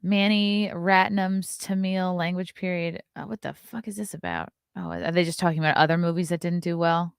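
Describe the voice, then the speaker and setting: A woman in her thirties hosts a livestream and talks straight into a close mic. Her voice sits low for a woman and sounds dry and close, with no room around it. She is blunt and sarcastic and swears freely as she riffs on chat comments. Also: fairly even intonation, moderate pace, creaky, hardly any pauses, smooth, no room sound. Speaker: livestream host.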